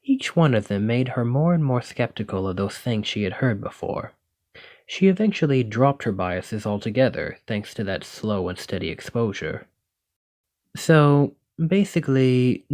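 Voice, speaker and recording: A gentle dragon-blooded librarian played by a man talks to his partner in an ASMR roleplay. His voice sits fairly low. He explains things earnestly and reassuringly, like the bookish, devoted boyfriend he is. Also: expressive, normal speed, close to an everyday voice. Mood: wistful, reflective, then frank, reassuring.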